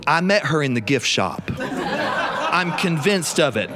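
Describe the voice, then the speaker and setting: A man telling a comic story live, theatrically, with exaggerated emphasis and drawn-out phrases. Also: fairly high pitch, big pitch swings, a loud crowd. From South Carolina. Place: studio, recorded live.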